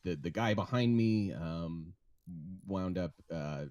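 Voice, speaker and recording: Stream guest, male, very low and soothing, hesitant with fillers; dry, close mic. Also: no room sound, dull audio. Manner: calm, soft-spoken, halting with many ums and restarts. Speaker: interview guest. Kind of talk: hesitant personal account on a livestream.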